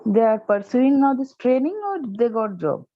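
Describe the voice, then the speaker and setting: A woman's voice: fairly high pitch, big pitch swings, normal speed. A woman, a prospective student on a video call, politely asking short questions. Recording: tinny phone-like call line.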